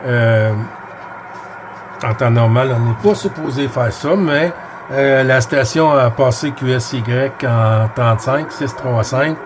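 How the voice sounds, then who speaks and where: A man with a Quebec French accent, chatting as a CB radio operator at his home station, friendly and hesitant with many pauses. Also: mid-range voice. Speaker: CB radio operator, channel host. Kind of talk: casual radio chat. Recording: noisy radio-room recording.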